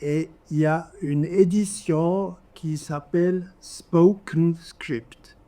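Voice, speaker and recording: A man with a Swiss German accent speaks from the audience at a literary event. His voice is fairly high and mellow, and he goes slowly in short, deliberate, halting phrases. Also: fairly even intonation. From German-speaking Switzerland. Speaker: audience member. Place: live literary café event.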